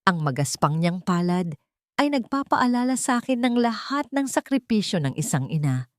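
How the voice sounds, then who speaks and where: A woman's voice: medium pitch, big pitch swings, moderate pace, dry recording, clean studio sound. A woman reading a dramatic scripted story as its narrator.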